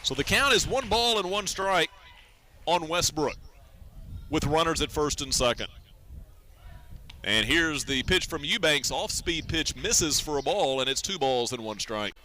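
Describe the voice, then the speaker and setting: A man calling baseball play-by-play on the radio in a live sports commentary style, with measured, deliberate phrasing. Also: a little high, animated, bright, forceful tone.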